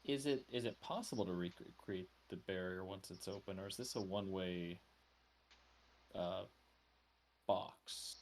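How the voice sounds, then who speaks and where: A man with a fairly low voice takes part in a tabletop roleplaying game. He asks questions in character in a casual, conversational style. There is faint noise behind him. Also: a little slow, pauses often.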